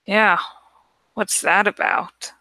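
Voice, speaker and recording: A woman's voice: mid-range voice, fairly even intonation, slow pace, sharp tone, dry recording, low-quality recording. A woman voicing a confident, teasing teenage girl who gives orders to her friend in a storybook reading. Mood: businesslike. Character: teenage girl narrator-heroine, brisk, bossy, teasing friend.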